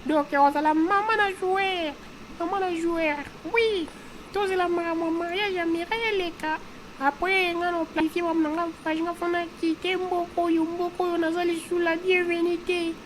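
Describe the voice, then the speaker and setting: Woman voicing high-pitched emphatic young Congolese woman. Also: steady tone, moderate pace, noisy background. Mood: fed up. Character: fed-up young woman, football lover, longing to leave. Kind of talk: amateur cartoon dialogue.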